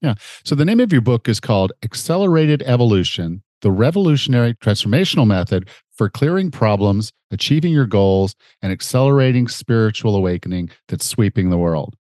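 A man's voice: lowish voice, animated, brisk, hardly any pauses, a little mellow, dry recording, studio quality. A middle-aged man with a slightly nasal, stuffed-up voice hosting a conversational podcast. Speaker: podcast host and fitness coach.

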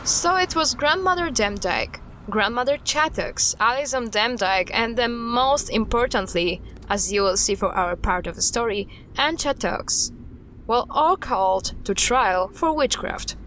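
A woman playing an earnest, guarded expert recounting dark history in an audio drama styled as a recorded interview, with a measured, serious delivery. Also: a little high, expressive, slight background noise. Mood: grave, measured.

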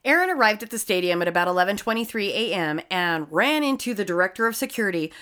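A woman's voice: medium pitch, animated, fast talker, bright, forceful tone. A woman hosts a casual true-crime podcast and tells the story of the case. She breaks off the narration with sarcastic, profane asides.

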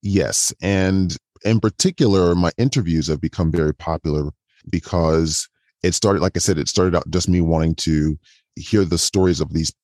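Man, voice pitched down by a modulator, deep, calm, inviting, dry, chatty with restarts. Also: dry recording. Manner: chatty, with frequent restarts and repetitions. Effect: voice modulated lower. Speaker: podcast guest, audio erotica podcaster. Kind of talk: casual interview chat.

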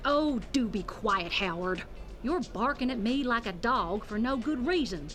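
A woman's voice: fairly high pitch, expressive, a little slow, a bit bright, soft background music. An actress playing a composed, condescending woman author in an audio drama, with an arch manner. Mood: scornful. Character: haughty, unflappable woman author of religious books.

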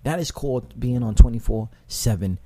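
A man with a high voice hosting a casual radio chat, animated and profane, giving shout-outs and often repeating himself. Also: moderate pace, no echo. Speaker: internet radio host.

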